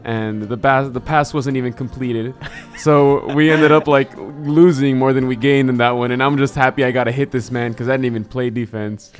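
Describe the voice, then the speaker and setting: A young man chatting as a guest on a student podcast, telling lively stories and acting out quotes. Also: mid-range voice, moderate pace, few pauses, faint music behind. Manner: lively storyteller, acts out quotes. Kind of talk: casual podcast chat.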